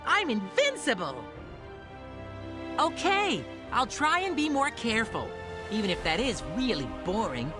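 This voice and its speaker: A plucky young game heroine in a high, very lively voice. She sounds eager and bubbly with a cocky streak, over clear background music. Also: measured pace. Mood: chirpy. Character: plucky, cheerful young female apprentice. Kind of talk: video game cutscene voice acting.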